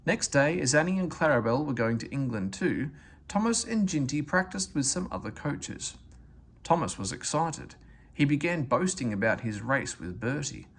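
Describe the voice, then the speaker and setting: Animated, creaky-voiced man reading a storybook. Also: medium pitch, moderate pace. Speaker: read-aloud narrator. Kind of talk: children's storybook narration.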